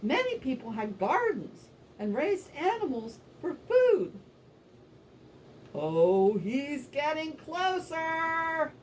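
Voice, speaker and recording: A woman with a high voice performs scripted puppet show narration for children. Her delivery swings widely in pitch and stays animated. She is picked up from a distance, with faint noise behind her. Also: slow pace.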